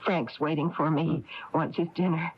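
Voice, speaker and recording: A woman's voice: low voice, fairly even intonation, moderate pace. An actress playing an earnest, worried young married woman from a small town in a 1980s radio drama. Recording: muffled noisy radio broadcast tape.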